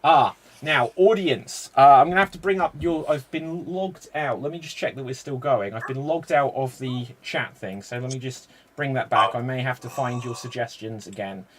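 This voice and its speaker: A man hosting a live-streamed improv show, explaining the games in a brisk, chatty way. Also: medium pitch.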